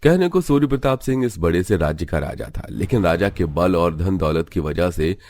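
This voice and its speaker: A man's voice: sits low, animated, moderate pace, darkish tone, no echo. A man narrating a scripted cartoon story as the storyteller.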